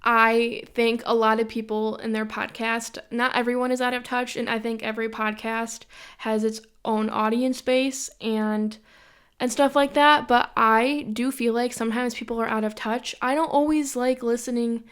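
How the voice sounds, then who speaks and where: A woman's voice: a little high, little pitch movement, moderate pace, fairly bright tone, smooth. A young woman from Western New York hosting her own podcast in a casual, rambling monologue full of opinions and repeated filler phrases. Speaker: podcast host.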